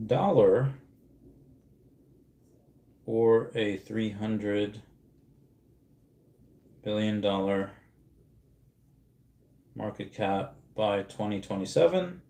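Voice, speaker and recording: A man in his thirties chats loosely on a livestream, starting sentences over and wandering between thoughts. His voice sits a little low, and the room gives it a noticeable echo. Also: extremely slow, gravelly, long pauses, warm tone. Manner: rambling, many restarts, laughs at comments. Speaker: streamer host. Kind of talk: casual livestream chat reading comments.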